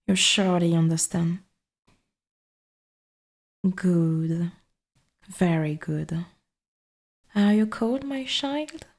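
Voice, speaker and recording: A woman voicing an ancient, dominant forest god in an ASMR roleplay, speaking in formal, archaic wording with a breathy half-whisper and quiet menace. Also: fairly low pitch, very slow, long pauses, full character voice. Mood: curious, looming.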